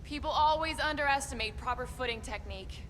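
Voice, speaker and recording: An actress playing a tough, practical former assassin in a video game, firm and matter-of-fact. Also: female voice, high-pitched, brisk, fairly bright tone, a little hiss or hum. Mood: instructive.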